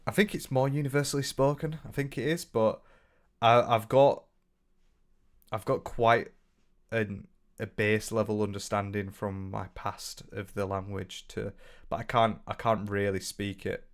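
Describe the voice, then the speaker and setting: A man's voice: on the low side, fairly even intonation, unhurried. Casual northern English man streaming. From north of England. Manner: rambling, frequent restarts, swears casually. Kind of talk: casual livestream chat reading comments.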